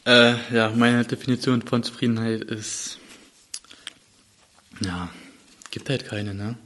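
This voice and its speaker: A man's voice: medium pitch, steady tone, takes it slowly, a bit bright, a little hiss or hum. A nineteen-year-old man in a casual group chat, giving short mumbled answers. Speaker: podcast participant. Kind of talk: casual round-table chat.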